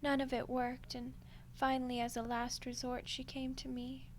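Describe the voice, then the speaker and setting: A woman playing a smug, condescending female archdemon in a soft-spoken ASMR roleplay, unhurried and menacingly polite. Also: high voice, monotone, a little hiss or hum, close to an everyday voice. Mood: condescending, scornful. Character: ancient female archdemon, smug, coolly seductive deal-maker.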